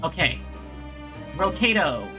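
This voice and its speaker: Lively, higher-voiced man streaming a game. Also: slow. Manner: rambling, talking to himself and viewers. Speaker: video game livestreamer. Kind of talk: casual gameplay commentary. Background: video game music.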